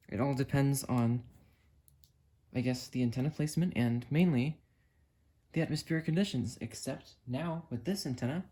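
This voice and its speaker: Casual male vlogger, medium pitch, enthusiastic hobbyist talking off the cuff through a gear demo. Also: mellow. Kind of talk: casual unscripted demonstration.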